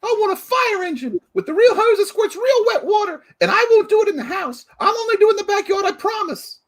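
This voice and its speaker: Woman playing an eager, very high-pitched little boy. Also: expressive, fairly quick. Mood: excited. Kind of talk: 1940s radio play acting.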